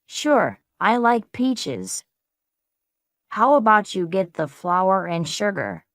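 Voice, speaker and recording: A woman reading a friendly everyday speaker in a slow, carefully spaced practice dialogue for English learners. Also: medium pitch, very animated, no echo, studio quality. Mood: plain.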